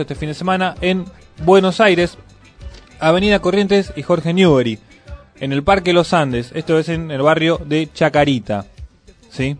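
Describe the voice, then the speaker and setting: A man co-hosting radio, giving casual announcements in a hesitant, improvised way. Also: on the high side. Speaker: radio co-host. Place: radio studio.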